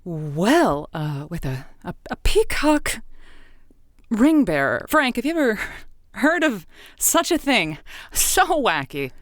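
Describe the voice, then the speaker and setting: A waffling advice host, played by a woman in an improvised comedy call-in show, stammering and hedging through every answer. The voice sounds close and dry, at a middle pitch. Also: very animated, slow, no room sound. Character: hesitant female radio advice host.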